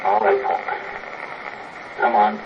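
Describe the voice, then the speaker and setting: A man's voice: fairly low pitch, fairly even intonation, slow pace. An actor playing a practical, protective spaceship crewman, terse and commanding, in vintage radio drama style. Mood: brisk, concerned. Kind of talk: 1950s radio drama acting. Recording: muffled old radio recording, loud noise.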